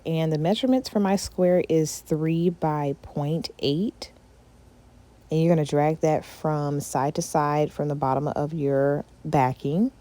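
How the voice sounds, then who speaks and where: A woman who runs a small craft business, talking through a design tutorial in a relaxed, chatty way with lots of filler words. She sounds congested from a cold. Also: low voice. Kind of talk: casual screen-recorded how-to walkthrough.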